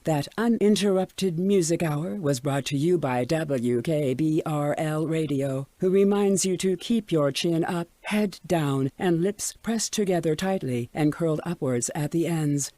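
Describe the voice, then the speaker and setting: An actor playing a placid, deadpan male radio announcer with a creepily controlling message in a parody station spot. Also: on the high side, unhurried, few pauses, dry recording, natural voice. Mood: placid, eerie.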